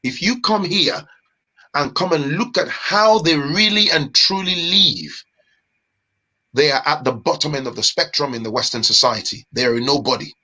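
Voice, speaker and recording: A man from Nigeria, a guest in a casual chat, talking in an animated, emphatic way with street slang. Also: a little high, moderate pace.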